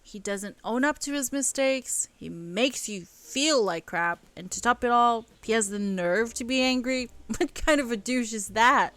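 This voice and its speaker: A woman's voice: fairly high pitch, unhurried, hardly any pauses, fairly bright tone, no room sound. A woman voicing a protective, caring young friend who talks in a soothing, reassuring way. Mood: indignant. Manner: soothing, then indignant.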